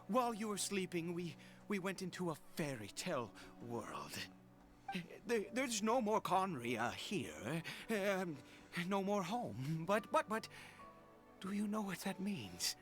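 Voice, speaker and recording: A man's voice: high voice, a bit bright, heavily acted. An actor playing a desperate, fervent father in a video game, theatrical and stammering, with breathless exclamations. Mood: anxious, reassuring. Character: overjoyed, fervent, desperate father clinging to his son. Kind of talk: dramatic game voice acting.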